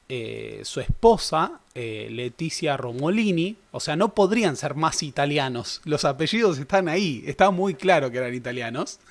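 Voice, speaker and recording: A young man giving a casual, conversational history lecture. He corrects himself often and adds joking asides. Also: on the high side. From Argentina. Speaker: history teacher.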